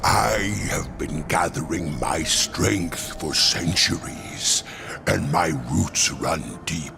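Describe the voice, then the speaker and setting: An actor playing a huge, ancient, hungry stone creature in a video game, speaking gravelly, stilted, formal lines. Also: male voice, low-pitched, exaggerated voice. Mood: brooding. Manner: slow, stilted formal speech. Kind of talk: video game character acting. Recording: music behind the voice.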